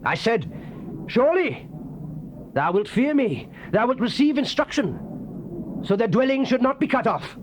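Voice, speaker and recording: A man's voice: extremely high pitch, very animated, a little slow, pauses often. A man with a British accent gives a solemn, theatrical, declamatory dramatized reading of the King James Bible. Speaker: dramatic scripture reader. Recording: noisy old cassette tape recording.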